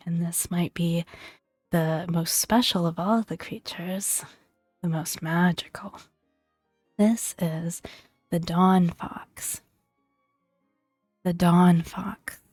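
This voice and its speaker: A woman narrates in a hushed, breathy near-whisper, in the manner of soft-spoken ASMR. Her pace is very slow and her delivery gentle and soothing. The sound is close and dry, with faint music underneath. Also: on the low side, no echo. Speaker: gaming ASMR video creator.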